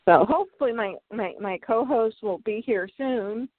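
A woman hosts a casual fan talk show in a fairly high voice, chatty and prone to wandering off topic. She sounds a little worn out. Her voice comes through a thin, phone-like line with a dry, close sound. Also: moderate pace, no echo. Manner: chatty, rambling, easily sidetracked. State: tired. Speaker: podcast host.

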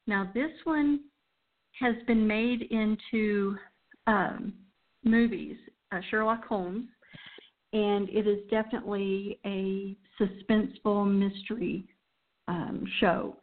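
Woman host chatting casually, really slow, medium pitch, phone-like muffled sound. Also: fairly even intonation. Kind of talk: casual chat introducing shows.

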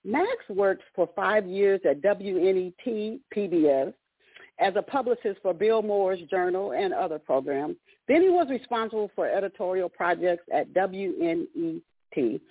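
A woman's voice: mid-range voice, animated, moderate pace, dry recording. A woman hosting a book interview show, introducing her guest with chatty, repetitive phrasing. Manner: warm chatty introductions with repeated words and restarts. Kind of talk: radio interview call-in. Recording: dry tinny phone line.

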